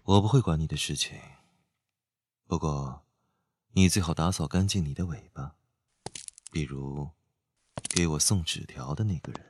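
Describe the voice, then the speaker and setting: A man acting a composed, wealthy young gentleman in a radio drama, unhurried, detached and faintly mocking. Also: rumbling low, a little hiss or hum. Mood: cold mocking.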